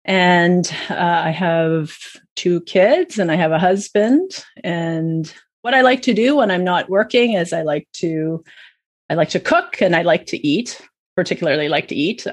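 A middle-aged woman with a Canadian accent, chatting as a podcast guest in a casual, self-deprecating way. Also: lowish voice. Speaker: podcast guest, charity executive director. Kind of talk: casual interview conversation.

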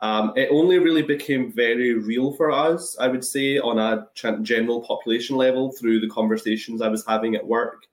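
A man with a Scottish accent explaining things thoughtfully on a webinar, with measured, hesitant phrasing. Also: medium pitch, fairly even intonation. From Scotland. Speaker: guest, anaesthesiology and intensive care resident. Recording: echoey webinar call.

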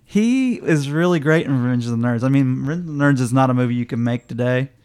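A man's voice: mid-range voice, expressive, quick pace, darkish tone, smooth. A man from Indiana co-hosting a casual movie podcast, laid-back and joking, with an Indiana accent. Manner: laid-back, joking, self-conscious about his speech. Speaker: podcast co-host. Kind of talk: casual chat.

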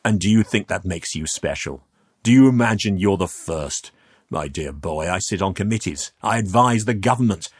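A man voicing a commanding, menacing older aristocrat, crisp and condescending, with a gravelly edge, in an audiobook story. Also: deep voice, lively intonation, moderate pace. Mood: smooth, condescending.

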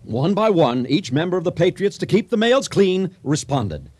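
An actor playing a theatrical narrator who addresses the audience in a comic radio drama. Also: male voice, sits high, very animated, moderate pace, a little mellow, husky, exaggerated voice. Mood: animated storytelling. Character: theatrical, folksy male storyteller addressing an audience.

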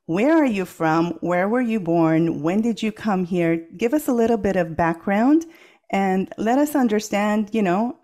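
A woman's voice: mid-range voice, a little slow, mellow. Effusive woman podcast host. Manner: effusive, enthusiastic. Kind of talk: interview hosting.

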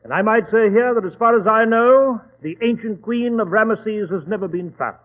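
An earnest, courteous detective's companion in a 1940s radio play. His voice is high and rough-edged, hesitant and deferential. The recording is tinny and narrow, like an old telephone line. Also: normal speed. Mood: genial storytelling. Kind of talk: 1940s radio drama acting.

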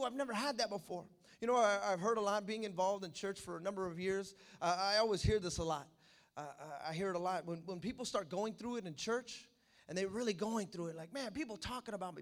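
A man preaching a sermon as pastor, animated, addressing the congregation with call-and-response asides. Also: high voice.

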